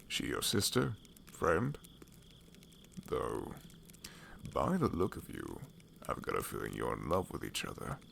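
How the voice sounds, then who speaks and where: A man playing an older, wry and worldly wanderer in a fantasy ASMR audio roleplay, with a gravelly, knowing delivery. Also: extremely low pitch, huge pitch swings, unhurried, long pauses, a bit bright, slight background noise, exaggerated voice. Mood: amused, teasing.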